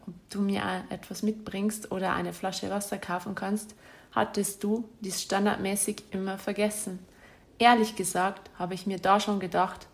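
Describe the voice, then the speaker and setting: A woman's voice: medium pitch, vocal fry. A woman reading out a letter she wrote to her former partner, in a bitter, accusatory and insulting way. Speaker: spurned ex-partner.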